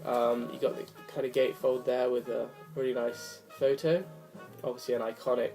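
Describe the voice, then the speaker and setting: A young man talks in a relaxed, wandering way, full of ums and restarts. His voice is slightly rough from a cold. Quiet jazz plays in the background. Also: mid-range voice, moderate pace. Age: young adult. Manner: rambling, apologetic, many fillers. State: hoarse from a cold. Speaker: vinyl collector vlogger. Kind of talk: casual chat to camera. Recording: faint music behind the voice. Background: jazz record playing softly.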